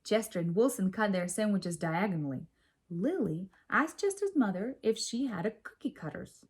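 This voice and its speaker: A woman reading a children's story aloud in an expressive, measured storytelling manner. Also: mid-range voice, breathy edge. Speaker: storybook reader.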